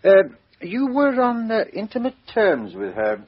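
An actor playing a brisk, chatty male Scotland Yard chief inspector in a 1950s radio drama, with a husky voice and a breezy manner. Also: sits high, wildly swooping pitch, exaggerated voice. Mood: questioning. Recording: dull old radio broadcast.

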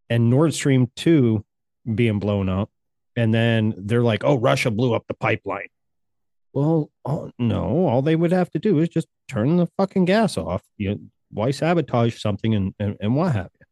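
A man's voice: mid-range voice, animated, measured pace, warm tone, no room sound. Man, podcast co-host, heated, sarcastic, swears a lot, dry close mic. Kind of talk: casual chat.

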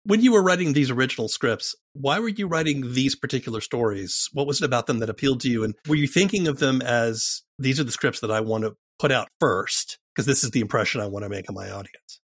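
A man working as an interviewer, asking questions and explaining in a measured way with pauses mid-phrase. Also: mid-range voice, animated, husky, no room sound, clean studio sound. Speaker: interviewer and host. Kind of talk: interview questions and scripted-sounding summary.

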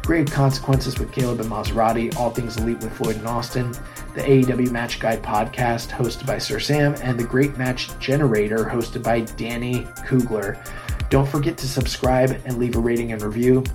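A hoarse man talks through a wrestling recap on his podcast, casual and full of fillers. He is plainly excited about the match. Faint music sits behind his voice. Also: mid-range voice, normal speed. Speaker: podcast host.

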